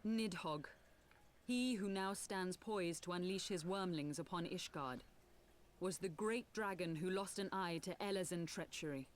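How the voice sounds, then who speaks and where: An actress playing a solemn noble rebel woman in a fantasy game, telling tragic history in formal, archaic language with measured delivery. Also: fairly low pitch, close to an everyday voice. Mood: grave, foreboding. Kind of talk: theatrical fantasy game acting.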